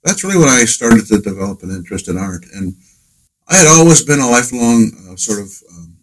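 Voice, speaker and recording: A man's voice: medium pitch, very animated, brisk, a little hiss or hum, poor audio. An older man from New Hampshire, a guest in a casual interview, speaking hesitantly with many fillers and restarts.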